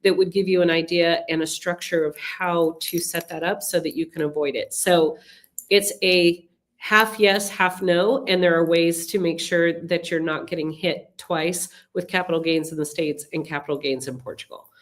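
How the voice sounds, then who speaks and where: A woman's voice: on the low side, fairly even intonation, normal speed. A middle-aged woman with a cold, hosting a live webinar Q&A as a relocation advisor and answering viewer questions in a chatty, explanatory way with frequent ums and restarts. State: has a cold, sniffling. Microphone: computer mic on a webinar call.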